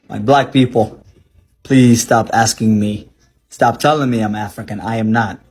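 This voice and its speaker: A man's voice: fairly low pitch, normal speed, a little mellow, slightly rough. A man declaring his point emphatically to camera. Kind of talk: loud clip to camera.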